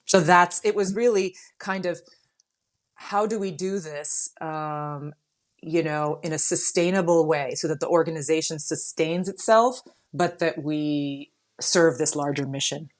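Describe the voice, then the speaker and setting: An American woman guest speaking earnestly and thoughtfully in an interview, pausing with fillers. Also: deep voice. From United States. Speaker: guest, lawyer and founder. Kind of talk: casual interview answers.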